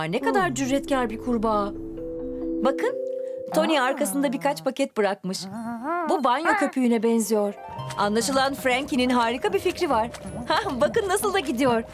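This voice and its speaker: A woman narrates a children's cartoon in a high voice. She is expressive and addresses both the characters and young viewers. Music plays steadily behind her.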